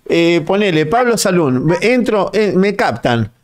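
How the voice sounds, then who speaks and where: A man's voice: sits high, big pitch swings, unhurried. A man with a Rioplatense Spanish accent interviewing a guest, blunt and pressing for plain answers. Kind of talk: conversational interview.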